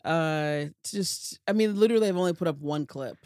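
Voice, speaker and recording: A woman's voice: deep voice, moderate pace, a little mellow, no echo, studio quality. An American woman from New Jersey in a casual chat, candid and blunt, swearing freely. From New Jersey, USA.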